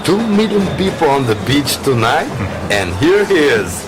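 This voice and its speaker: An actor playing a jovial, slippery Brazilian rogue with a Brazilian accent, teasing and expansive in an audio drama. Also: male voice, on the high side, big pitch swings, a little slow. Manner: expansive, teasing, feigning innocence. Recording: music under the voice.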